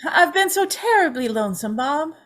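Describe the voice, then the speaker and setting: A woman's voice: sits high, sing-song, moderate pace, a bit bright, soft background music. A woman playing a tormented, pleading young woman, tearful and melodramatic in an old-time radio drama. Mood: lonesome, pleading. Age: young adult. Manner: tearful, breathlessly emotional, melodramatic.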